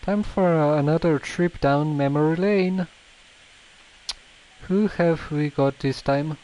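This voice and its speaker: Slow, low-key male game streamer. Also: mellow, muffled recording. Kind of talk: casual game-stream commentary, reading dialogue choices aloud.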